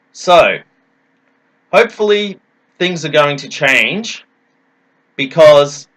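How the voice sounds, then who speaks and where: A man's voice: medium pitch, pushed and bright, rough recording. A man giving an explanatory presentation as a teacher, in an emphatic, didactic manner, posing questions and answering them. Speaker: English teacher presenting a talk.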